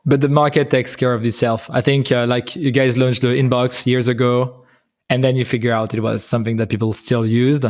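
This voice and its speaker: A man interviews a guest in a casual conversational style. His voice sits at a medium pitch and moves very little, staying flat as he asks and comments. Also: brisk. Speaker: interviewer, host.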